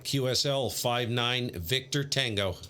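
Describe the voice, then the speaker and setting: A man's voice: mid-range voice, measured pace. A man live-streaming amateur radio, chatting casually and muttering to himself between call-outs. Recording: clear background radio noise.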